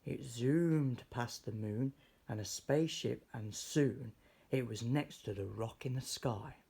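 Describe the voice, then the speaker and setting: A man reading a rhyming children's story aloud in an expressive, theatrical, measured way. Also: mid-range voice, gravelly, slightly rough. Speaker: storybook reader.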